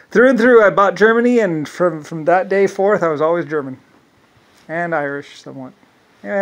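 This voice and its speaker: A man's voice: fairly high pitch, gravelly, low-quality recording. A man telling a long, meandering solo monologue, restarting often and circling back with repeated asides.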